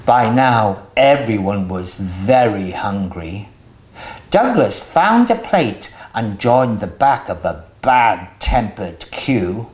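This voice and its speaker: A storyteller reading a children's picture book aloud in an expressive, deliberate way as host. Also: mid-range voice.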